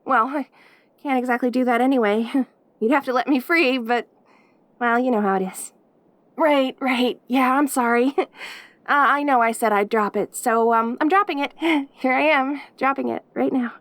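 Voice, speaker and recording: A woman's voice: high-pitched, moderate pace, a bit bright, dry recording. A woman acting a lonely, clingy caged demon girl in an audio roleplay, perky and cutesy, gushing and stammering at the listener. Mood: cheerful, wistful.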